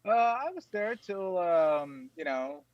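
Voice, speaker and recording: A man from the Philippines whose first language is Tagalog, speaking with an American accent as a guest in a casual interview, rambling with many fillers and sudden high jumps. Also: expressive, takes it slowly, no room sound, lo-fi sound.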